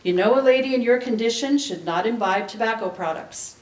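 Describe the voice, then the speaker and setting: A woman's voice: on the high side, fairly quick, far from the mic, pitched above the performer's own voice, lightly acted. A woman reading aloud as a young small-town policeman, speaking in a stiff, formal, official manner. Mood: stern, official. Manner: stiff, formal ticket-writing voice. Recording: echoey room, public event recording.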